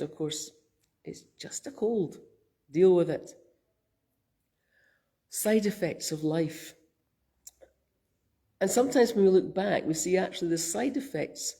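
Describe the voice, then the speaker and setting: A Scottish woman minister giving a gentle, thoughtful spoken reflection, her voice croaky from a cold. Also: lowish voice. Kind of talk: reflective sermon.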